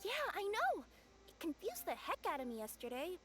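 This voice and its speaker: A woman voicing an excitable, flustered young cat spirit courier in a video game, stammering and exclaiming. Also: very high voice, normal speed, soft background music, heavily acted. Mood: eager, flustered.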